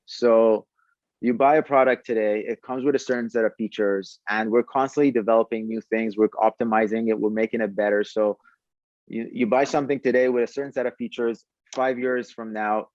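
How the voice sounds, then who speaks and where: A man's voice: fairly low pitch, darkish tone, dry recording, muffled recording. A man talking conversationally as a podcast guest, explaining a product and often repeating words as he goes. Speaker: startup founder, podcast guest.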